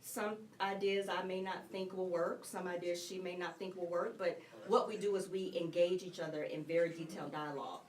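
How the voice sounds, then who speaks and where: A woman guest on a radio talk show calmly explaining how she and her business partner work together. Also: on the low side, brisk, few pauses. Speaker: guest, network co-founder. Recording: roomy and distant from the mic.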